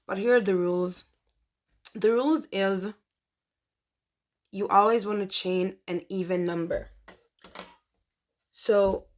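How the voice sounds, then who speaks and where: A young woman hosting a chatty crochet tutorial, her voice mostly gone and rough from a dry throat, rambling and correcting herself as she goes. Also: mid-range voice. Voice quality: strained, rough. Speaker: crochet tutorial host and shop owner.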